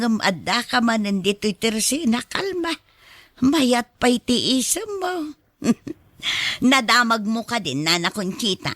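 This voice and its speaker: Village gossip for radio drama, woman actor, Filipino accent; scornful, mocking drawl, lively high swoops, bright edge. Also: no echo, full character voice.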